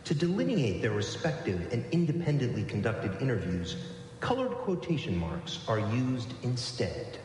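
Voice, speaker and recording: Male stage narrator, measured and literary, expressive intonation, big reverberant hall with faint noise. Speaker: narrator in a live radio performance. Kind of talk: literary scripted narration before an audience. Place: live on stage in a large hall. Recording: very echoey hall, faint hiss and rumble.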